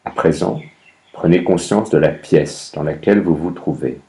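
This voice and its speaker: Male meditation guide, very deep pitch, mellow relaxed tone, calm, measured instructions, long pauses. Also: creaky. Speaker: yoga nidra meditation guide.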